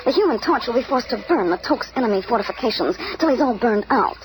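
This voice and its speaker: A woman's voice: fairly high pitch, animated, quick pace, lightly acted, low-quality recording. A woman playing an earnest, defiant captive alien princess in a 1970s radio drama, with a grave, dramatic delivery. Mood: grave warning. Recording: vintage radio recording under music.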